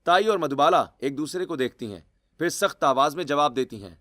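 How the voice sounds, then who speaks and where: A man's voice: a little high, lively intonation, fairly quick, sharp tone, dry recording, clean studio sound. A man reading out scripted story narration.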